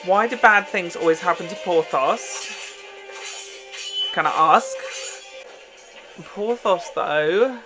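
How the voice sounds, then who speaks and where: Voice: mid-range voice, slow pace, long pauses, over music. A reaction host giving casual, chatty, exclamatory commentary on a show. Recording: TV show soundtrack playing behind.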